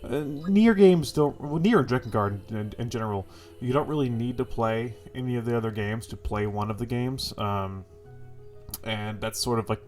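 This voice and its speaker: A man with a stuffy, congested voice giving casual, rambling impressions of a game as host of his gaming channel, full of fillers and the odd swear. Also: on the low side. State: congested from a cold.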